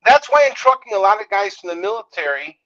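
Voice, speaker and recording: A man's voice: high voice, big pitch swings, fast talker, fairly bright tone, lo-fi sound. A straight-talking man in a casual, opinionated discussion, speaking bluntly and acting out what others say. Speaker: trucking business owner.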